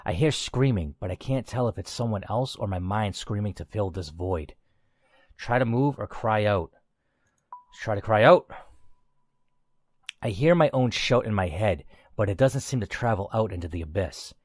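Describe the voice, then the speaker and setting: A man's voice: lowish voice, animated, moderate pace, dry recording, studio quality. A man reading a first-person game story aloud as the player, in a dramatic narrating style.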